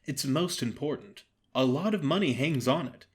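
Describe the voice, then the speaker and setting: Guy doing a chatty pushy theater type for an audio drama, lively swoopy delivery, teasing and emphatic. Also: medium pitch, moderate pace, lightly acted. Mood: insistent. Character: breezy, cajoling theatrical man, teasing and persistent.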